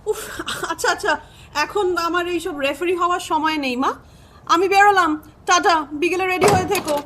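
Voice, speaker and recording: A woman's voice: very high-pitched, normal speed, noisy background. An actress playing a busy young adult daughter in an audio drama, an indulgent peacemaker between her parents. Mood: exasperated.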